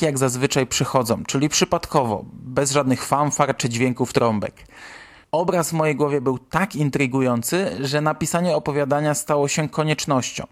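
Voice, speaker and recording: Male radio drama host and narrator. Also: medium pitch. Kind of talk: scripted introduction and story narration.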